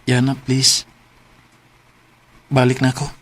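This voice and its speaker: Pleading Filipino ex-lover, mid-pitched. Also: male voice, monotone, takes it slowly, a bit bright. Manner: pleading, earnest. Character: pleading, regretful male ex-lover Will. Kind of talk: radio drama acting.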